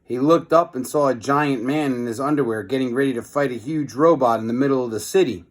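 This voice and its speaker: A man hosting a children's read-aloud, moving between expressive storybook reading and informal, hesitant asides. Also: medium pitch, fairly quick.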